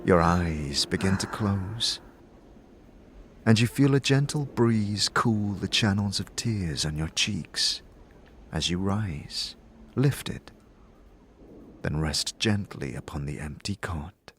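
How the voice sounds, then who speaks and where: A man narrating a fantasy tale as game master, with suspenseful, deliberate dramatic delivery. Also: low-pitched, animated, a bit bright, slight background noise. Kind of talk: dramatic second-person scripted narration.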